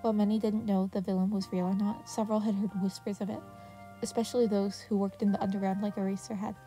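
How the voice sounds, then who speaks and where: Mellow woman narrating a story. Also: mid-range voice, little pitch movement, fast. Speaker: narrator, fan fiction reader. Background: soft music.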